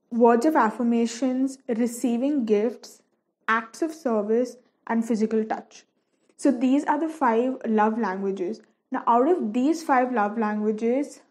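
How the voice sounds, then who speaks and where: Young woman, Malayalam first language, slightly high voice, casual and chatty over a painting session. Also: a little mellow. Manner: chatty, many fillers and restarts. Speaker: painting vlogger.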